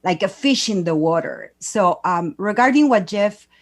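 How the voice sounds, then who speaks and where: A woman's voice: medium pitch, animated, normal speed. A woman from Mexico City with a Mexican accent, speaking English as a second language and searching for words on a panel. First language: Spanish. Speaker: education and culture promoter. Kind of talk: panel discussion.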